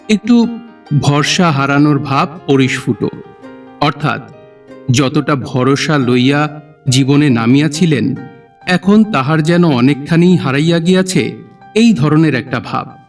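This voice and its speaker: A male narrator tells a literary tale in formal style. His voice sits a little high and slightly away from the mic, with soft music behind it. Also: unhurried, pauses often, far from the mic.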